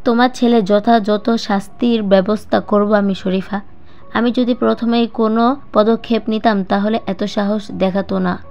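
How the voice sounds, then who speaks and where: A woman's voice: medium pitch, normal speed, creaky, clean tone, close to an everyday voice. Young niece, firm, hurt and reproachful, audio drama, acted by a female storyteller, slightly dull recording. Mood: resolute. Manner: calm, reproachful, resolute.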